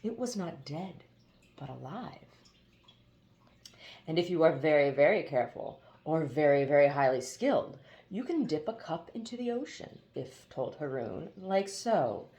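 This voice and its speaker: A woman narrating a children's novel aloud as an expressive audiobook reader. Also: deep voice, a little slow.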